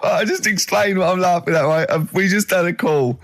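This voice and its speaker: A man bantering casually as a radio host, excitable, giggly and pleading. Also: high voice, a little fast, very noisy.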